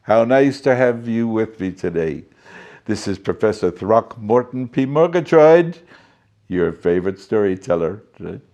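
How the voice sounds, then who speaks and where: A man's voice: fairly low pitch, expressive, a little slow, darkish tone. A man playing a jolly, fussy professor storyteller who reads a children's storybook theatrically, with chatty asides and muddled words. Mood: chatty, delighted.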